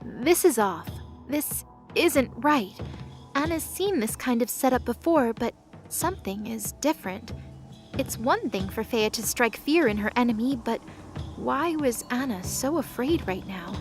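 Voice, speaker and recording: A woman's voice: on the high side, animated, measured pace, soft background music. A woman reading dramatic scripted narration for a fantasy audio drama.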